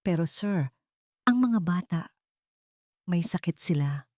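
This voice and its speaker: A woman's voice: lowish voice, animated, slow pace, a little mellow, dry recording. A humble, loyal housemaid in an audio drama, played by a woman. She speaks deferentially, pleading like someone wronged and broken. The recording is dry.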